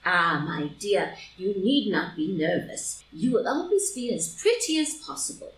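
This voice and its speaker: A woman's voice: medium pitch, big pitch swings, a little slow, fairly bright tone. A woman acting a worldly, chatty older titled lady in a group play reading, genteel and mildly scolding. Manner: genteel, opinionated pronouncements. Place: live in-person group reading in a room. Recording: roomy, distant live reading, noisy.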